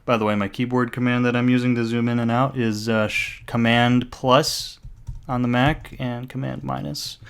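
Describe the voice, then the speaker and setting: A man casually talking himself through a software tutorial, rambling and joking, with the occasional curse. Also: mid-range voice, flat delivery, normal speed, gravelly, hardly any pauses, smooth. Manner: rambling, jokey self-talk with mild swearing. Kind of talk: casual screen-recorded tutorial.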